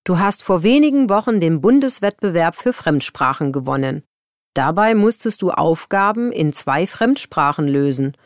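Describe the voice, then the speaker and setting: A woman with a lower voice reading prepared texts, her pitch moving a lot as she speaks. The sound is dry and close-miked. She delivers each line clearly. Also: moderate pace, dry recording. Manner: lively, clear scripted delivery. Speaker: exam recording reader. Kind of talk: scripted reading, playing an interviewer.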